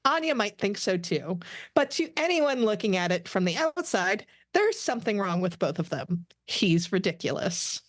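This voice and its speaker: A woman narrates stage directions for a podcast table read. Her voice sits at a medium pitch. The sound is dry and close, with little room. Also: big pitch swings, moderate pace, dry recording.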